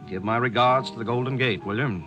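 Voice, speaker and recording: An actor playing a breezy, cocky American war correspondent, hearty and slangy, in a 1940s radio drama. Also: male voice, medium pitch, brisk, over music, close to an everyday voice. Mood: cheerful, bullish.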